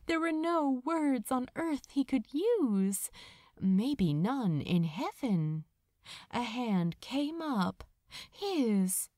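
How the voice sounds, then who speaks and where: A woman reading a romantic story aloud as a narrator, with a dramatic, tender and measured delivery. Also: fairly high pitch, a little mellow, no room sound, clean studio sound. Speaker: fan fiction audiobook narrator. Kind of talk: expressive scripted story narration.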